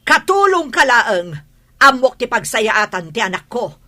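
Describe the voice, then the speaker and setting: Haughty Filipino mother, radio drama, high bright voice, indignant, scornful, hot-tempered. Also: big pitch swings, a little slow, exaggerated voice.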